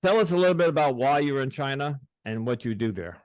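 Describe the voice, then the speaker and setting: A middle-aged man hosting a podcast, speaking haltingly with many restarts as he opens up about his past. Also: mid-range voice, expressive, a little mellow, dry recording. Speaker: podcast host. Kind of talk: casual interview, personal disclosure.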